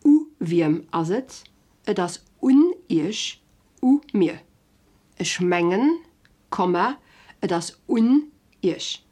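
A woman's voice: medium pitch, expressive, very slow, pauses often, warm tone. A woman teaching in a scripted language lesson, giving examples carefully word by word in a didactic manner.

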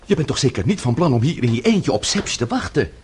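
An actor playing an anxious, devoted husband in a radio play, concerned and a little hesitant, with a husky voice. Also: male voice, medium pitch, big pitch swings, fast talker, a little mellow, slight background noise, close to an everyday voice. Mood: worried. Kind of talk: radio play acting in Dutch.

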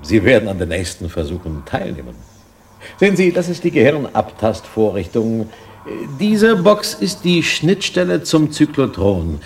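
An actor playing a smooth, insinuating research director in a German science fiction radio play, polite but probing. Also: male voice, medium pitch, wildly swooping pitch, a little slow. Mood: proud, inviting. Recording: faint background noise, early-1990s radio drama.

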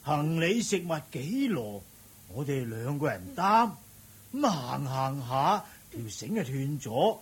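An actor playing a fussy, kindly older village uncle in a Hong Kong Cantonese radio drama, excitable and coaxing, with a stammer when flustered. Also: male voice, a little high, takes it slowly, exaggerated voice. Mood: coaxing, explaining. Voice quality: very raspy.

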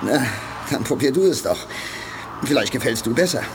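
An actor playing a brash, impulsive male crewmate with a teasing, gruff manner in a radio drama. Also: medium pitch, expressive, normal speed, natural voice. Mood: blunt. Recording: loud music bed behind the voice.

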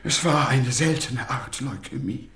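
An actor playing a pompous, evasive clinic chief in a crime radio drama, speaking in a formal, halting way. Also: male voice, mid-range voice, fairly bright tone, reverberant. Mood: evasive, uneasy. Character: pompous, evasive male head doctor of an elite clinic.

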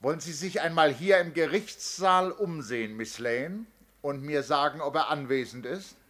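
An actor playing a methodical defence lawyer in a 1970s German radio play, questioning insistently with deliberate, pointed pauses. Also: male voice, a little high, lively intonation, a bit bright. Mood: formal, insistent.

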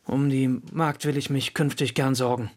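An actor playing a defiant apprentice musician under suspicion in a period radio drama, defensive and insistent. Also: male voice, medium pitch, steady tone, moderate pace, natural voice. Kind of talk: German radio drama acting in period speech.

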